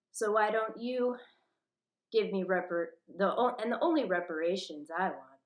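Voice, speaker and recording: A woman's voice: on the high side, reverberant. A woman delivering a rambling, profane rant that jumps from topic to topic in an agitated manner.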